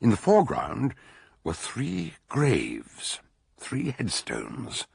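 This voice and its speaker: An actor playing a stout, wry doctor detective in a radio drama, theatrical and droll, gravelly and husky. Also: male voice, mid-range voice, wildly swooping pitch, takes it slowly, darkish tone, dry recording, clean studio sound, exaggerated voice. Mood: grave, storytelling. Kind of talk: radio drama acting and storytelling narration.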